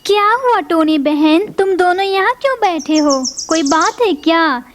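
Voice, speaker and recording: A woman's voice: very high voice, animated, measured pace, a little hiss or hum. A woman voicing a sorrowful female sparrow in a cartoon who longs for a child and pleads through tears. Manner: pleading, sobbing. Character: sad childless female sparrow, tearful and quick to anger. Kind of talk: cartoon voice acting.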